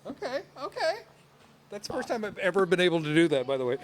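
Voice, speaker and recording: A man's voice: sits high, huge pitch swings, fast talker. A man in his fifties doing live, playful on-location interviews as a morning TV field reporter, talking informally. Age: 50s. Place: gym, on location. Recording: clearly noisy live location sound.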